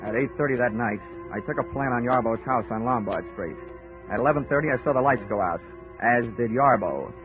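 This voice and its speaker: An actor with an American accent playing a wry, hard-boiled investigator in a 1940s radio drama, dry and wisecracking. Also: male voice, mid-range voice, fairly quick, lightly acted. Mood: dry narration. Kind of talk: 1940s radio acting, noir narration. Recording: tinny 1940s radio recording, music behind.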